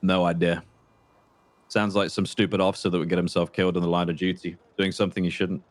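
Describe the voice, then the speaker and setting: A low-pitched male crime boss played by a man in a roleplay game, once a trooper and now bitter and sure of himself. He talks in a deliberate, halting way, repeating words, with condescending menace. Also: little pitch movement, dry recording, lightly acted. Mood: contemptuous. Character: menacing, bitter former state trooper turned confident criminal. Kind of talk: improvised crime roleplay phone conversation.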